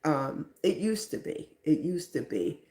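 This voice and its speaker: A woman's voice: low voice, sing-song, a little slow, dark tone, roomy sound. A woman hosting a live stream, giving reflective motivational talk and often asking "right?".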